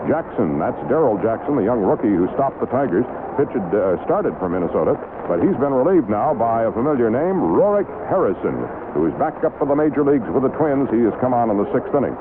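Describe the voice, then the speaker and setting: A man's voice: medium pitch, very animated, a little fast, few pauses. An American man working as a baseball radio announcer, reading scores and recapping games. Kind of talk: sports scoreboard and game recap commentary. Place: ballpark broadcast booth. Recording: tinny, noisy 1970s AM radio broadcast.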